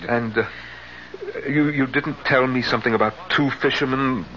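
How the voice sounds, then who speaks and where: An actor playing a middle-aged husband losing his grip on reality in a radio drama, halting and fretful. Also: medium pitch, fairly even intonation, a little hiss or hum, lightly acted. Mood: uneasy, halting. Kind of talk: 1970s radio drama acting with confessional first-person narration. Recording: dull 1970s radio recording with loud music.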